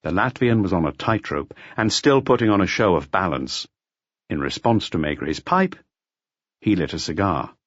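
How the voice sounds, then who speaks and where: A man's voice: deep voice, animated, moderate pace, no room sound, dull audio. A man reading a mystery audiobook as a scripted narration. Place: close, dry recording.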